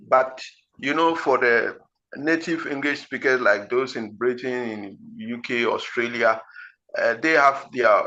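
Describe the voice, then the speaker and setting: Slow, deliberate Ghanaian man teaching. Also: medium pitch, sharp tone, dull audio. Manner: slow, deliberate, word-by-word delivery with frequent fillers. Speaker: IELTS teacher. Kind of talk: explanatory teaching talk.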